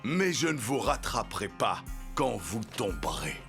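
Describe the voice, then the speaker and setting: Sneering cartoon executive, creaky voice. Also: male voice, lowish voice, big pitch swings, a little slow, over music. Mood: scornful. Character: scornful male company executive, sardonic and threatening. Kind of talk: French-dubbed cartoon acting.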